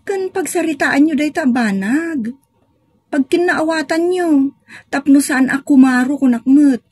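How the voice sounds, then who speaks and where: A woman's voice: sits high, animated, measured pace, a little mellow, dry recording, clean studio sound. A woman playing an earnest grown child appealing to a parent in an audio drama, urgent, with an Ilocano accent. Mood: urgent, pleading. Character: earnest grown child appealing to mother about family shame. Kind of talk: Filipino audio drama acting.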